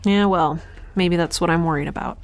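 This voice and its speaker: A woman's voice: fairly low pitch, slight background noise, natural voice. A woman playing a frazzled, wry young scientist in space, rambling and correcting herself as she talks in an audio drama. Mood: weary, anxious. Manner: halting, rambling, self-correcting. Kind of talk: audio drama, conversational log-diary monologue and dialogue.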